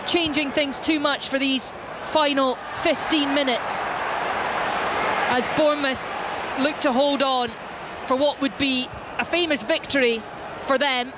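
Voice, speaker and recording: High-pitched live football commentator, noisy background. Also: steady tone, unhurried, long pauses. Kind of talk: live radio sports commentary. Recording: clear ambient noise behind.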